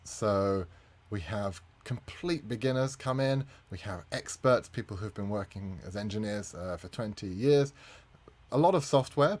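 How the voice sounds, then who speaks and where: A man, a native English speaker, presenting a conference talk as a community manager, friendly with frequent fillers. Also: on the low side.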